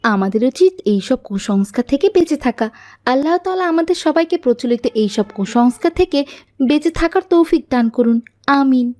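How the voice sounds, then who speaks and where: A woman's voice: sits high, expressive, fairly quick, warm tone. A woman voicing an earnest, pious young girl who explains and corrects in an Islamic cartoon. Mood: earnest, instructive. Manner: didactic, explanatory. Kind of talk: Islamic cartoon dialogue, moral lesson.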